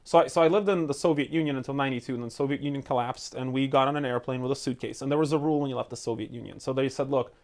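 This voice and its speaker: Fast-talking man telling stories. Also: mid-range voice. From Ukraine. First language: Russian. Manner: fast, animated, acts out quotes. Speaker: guest, entrepreneur. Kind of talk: casual interview storytelling.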